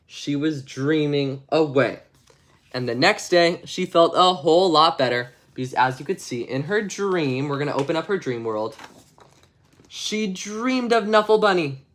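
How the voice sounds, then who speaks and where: A man reads a children's bedtime story aloud. His pitch moves around a great deal as he narrates expressively, and he slips into casual, chatty asides around the text. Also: animated, unhurried. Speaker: storybook reader.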